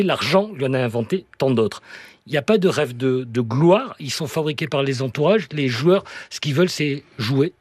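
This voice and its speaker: Guy hosting a book chat, raspy voice a bit on the high side, short punchy phrases, dry sound. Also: dry recording. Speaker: interviewer, book show host. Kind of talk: conversational literary interview.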